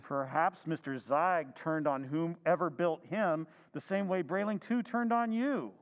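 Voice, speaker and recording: An actor playing an anxious husband in an old-time radio drama recreation, growing nervous and then panicked. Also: male voice, on the high side, unhurried, highs cut off, lightly acted. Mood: urgent. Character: Henry, anxious middle-aged husband, jittery.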